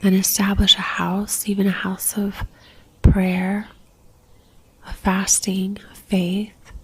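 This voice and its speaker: A woman leading a guided religious meditation in a soft, near-whispered voice, gentle and calm, leaving long pauses. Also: mid-range voice, husky, low-quality recording.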